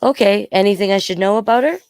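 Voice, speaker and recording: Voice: mid-range voice, quick pace, a little mellow, smooth, no echo, clean studio sound, pitched above the performer's own voice, natural voice. A storyteller voicing an eager, earnest young girl who reports for a school paper.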